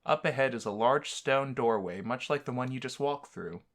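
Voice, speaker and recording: A man's voice: medium pitch, normal speed. A man hosting a let's-play, bantering teasingly and reading a visual novel game aloud.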